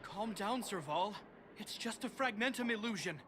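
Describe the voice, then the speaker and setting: A man voicing a protective young soldier in a video game, earnest and measured, with a reassuring manner. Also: high-pitched, expressive, a bit bright, a little hiss or hum. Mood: urgent, pleading. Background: game music.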